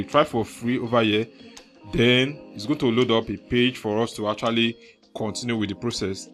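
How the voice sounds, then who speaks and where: A man with a Nigerian accent hosting a screen-recorded software tutorial, chatty and leaning on filler words like "so" and "actually". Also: mid-range voice. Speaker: tutorial host.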